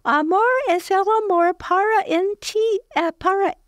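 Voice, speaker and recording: Very high, melodramatic teenage heroine. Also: female voice, a little slow, no room sound, studio quality. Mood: impassioned pleading. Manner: breathless, melodramatic, pleading. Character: teenage girl singer overacting a tragic stage heroine. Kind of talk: theatrical radio play acting.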